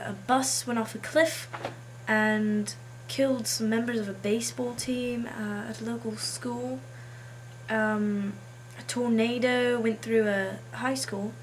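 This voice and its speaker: A woman chatting casually to camera as a video blogger, halting as she tries out a put-on accent. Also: on the high side, a little hiss or hum, low-quality recording.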